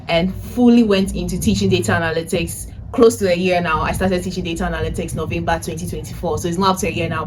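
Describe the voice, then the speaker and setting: A woman's voice: lowish voice, noisy background. A woman in her twenties talking casually to viewers in a chatty vlog style, often checking in with a tag question. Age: 20s. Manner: chatty, addresses viewers directly, often tags phrases with "right?". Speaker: vlogger and data analytics teacher. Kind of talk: casual vlog to camera, recap of personal updates. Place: indoors, a room in a family house.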